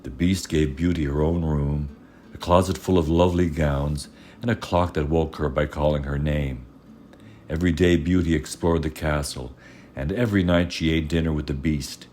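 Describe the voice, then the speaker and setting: A man reading a fairy tale aloud as storyteller, measured and expressive in his scripted narration. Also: extremely low pitch, slight background noise.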